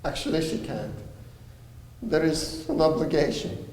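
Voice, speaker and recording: A stage performer playing a proud, insistent war survivor in a play. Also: female voice, sits low, unhurried, a little mellow, a little hiss or hum. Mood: indignant. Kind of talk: live stage play dialogue. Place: theatre stage, recorded from a distance. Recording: echoey hall from afar.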